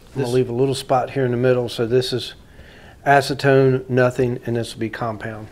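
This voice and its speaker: A man with a mid-pitched voice narrates a hands-on restoration job. He speaks casually and plainly, thinking out loud as he works through each step like a hobbyist in his shop. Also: level tone, brisk.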